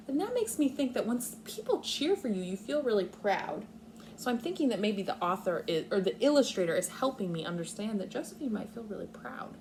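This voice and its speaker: A woman teacher with a lively, varied pitch leads a read-aloud lesson for young children. She explains her thinking aloud step by step. There is some faint background noise on the recording. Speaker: kindergarten teacher.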